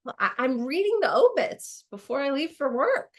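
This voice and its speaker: A woman's voice: high-pitched, big pitch swings, moderate pace. A woman playing a reflective, wry lawyer in a conversational stage monologue about her own life. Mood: wry, amused. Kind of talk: dramatized first-person monologue, conversational.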